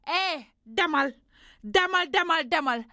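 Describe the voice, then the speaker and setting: A proud, worn-down mother in an audio drama. Her voice is high, recorded close and dry. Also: expressive, a little slow, bright, forceful tone, no room sound, heavily acted. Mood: defiant, angry. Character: anguished, proud Senegalese mother, defiant and worn down.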